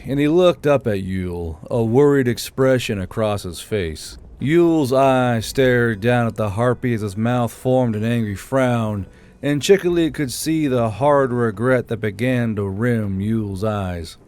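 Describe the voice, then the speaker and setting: A man's voice: medium pitch, unhurried, a little mellow, clear, resonant tone. A man reading scripted audiobook fiction as its narrator. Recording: music under the voice.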